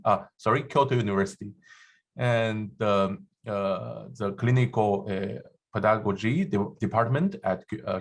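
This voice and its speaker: A man whose first language is not English chairs a seminar and introduces the speaker, halting often with fillers and restarts. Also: fairly low pitch. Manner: halting, many fillers and restarts, laughs at himself. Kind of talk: hesitant spoken introduction. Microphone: handheld or desk microphone.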